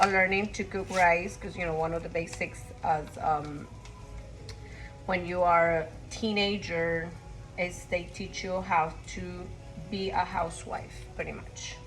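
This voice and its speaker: A woman's voice: fairly low pitch, measured pace, fairly bright tone, soft background music, low-quality recording. A woman from Costa Rica chatting casually while she cooks in her home kitchen, rambling and blunt with her asides. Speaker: home cook vlogger. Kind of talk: casual cooking vlog.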